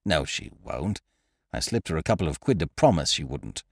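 A man's voice: very deep voice, big pitch swings, moderate pace, gravelly, no room sound, clean studio sound, pitched below the performer's own voice, full character voice. A man voicing a breezy, scheming young Englishman who wheedles and calls his friend laddie. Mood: wheedling.

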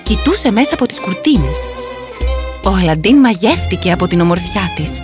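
Animated woman storyteller over music. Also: mid-range voice. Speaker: narrator. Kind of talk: scripted children's fairy tale narration.

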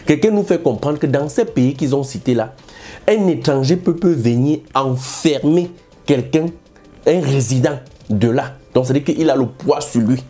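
A man's voice: mid-range voice, normal speed, dark tone, soft background music. A man hosting a commentary show, speaking emphatically and repeating his points. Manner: repetitive, emphatic. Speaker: host. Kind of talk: commentary monologue.

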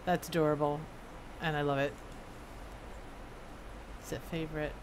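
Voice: mid-range voice, flat delivery, normal speed. Casual game streamer with noisy background. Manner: chatty, thinks aloud while playing. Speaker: game streamer reading quest dialogue aloud. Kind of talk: casual gaming stream with read-aloud dialogue.

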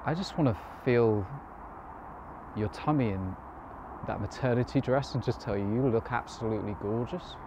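A man acting a nervous, awkward lover in a naturalistic modern stage monologue, halting, hesitant and earnest. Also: medium pitch, close to an everyday voice. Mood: tender, yearning. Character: nervous, awkward, lovestruck man confessing his love. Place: outdoors, park bench. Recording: noisy outdoor recording with passing vehicles.

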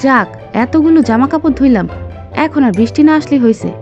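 A woman's voice: high voice, fairly quick, darkish tone, highs cut off, faint music behind, lightly acted. A woman playing a harried, grumbling cartoon mother with a Bangladeshi Bengali accent, complaining in colloquial speech. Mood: fretful grumbling. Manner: complaining, exasperated.

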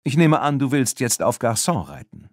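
A man's voice: medium pitch, very animated, normal speed, no echo, voice pushed up. A man voicing a practical, decisive boy with a dry, laconic manner in a children's audiobook.

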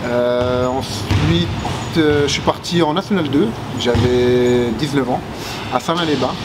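A man in his forties, a basketball player, answering interview questions with many pauses and restarts, seated in empty arena stands. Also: medium pitch. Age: 40s. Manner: hesitant, many fillers and restarts. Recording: loud background noise.

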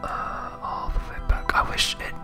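Slow, whispering ASMR gamer over music. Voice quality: soft, breathy whisper. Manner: hesitant, rambling, self-deprecating. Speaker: host, game streamer. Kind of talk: whispered ASMR gameplay commentary.

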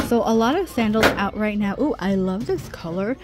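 A woman's voice: medium pitch, expressive, normal speed, over music. A middle-aged woman vlogging a casual shopping trip, chatting and reading out prices as she browses a busy store. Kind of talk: casual shop-with-me narration. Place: busy discount clothing store.

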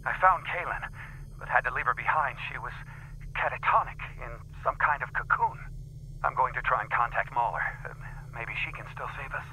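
An actor playing an anxious biologist on the run in a sci-fi game audio log. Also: male voice, medium pitch, brisk, sharp tone, rough recording. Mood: urgent, grim. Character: anxious male biologist fleeing an outbreak. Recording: faint noise, recorded audio log.

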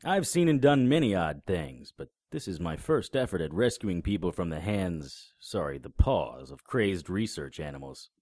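An actor in an audio drama playing a cynical, sardonic, hard-boiled private investigator with a terse delivery. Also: male voice, sits low, very animated, moderate pace, hardly any pauses, darkish tone, dry recording, clean studio sound. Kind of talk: audio drama acting with noir narration.

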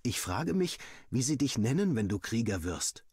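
A cocky schoolboy rival from a storybook, acted out by a male narrator. The voice is lifted in pitch and pushed, with a teasing, boastful manner. It is recorded very dry. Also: big pitch swings, moderate pace, darkish tone, breathy edge, no echo. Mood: mocking. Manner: mocking, boastful.

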